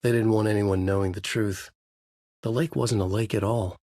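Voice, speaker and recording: An actor playing a brooding, haunted male novelist who narrates his dark horror thriller in a measured, grave, husky voice for a video game. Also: on the low side, steady tone, dry recording, clean studio sound. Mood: foreboding. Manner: measured, grave, dramatic delivery. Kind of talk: scripted noir horror narration.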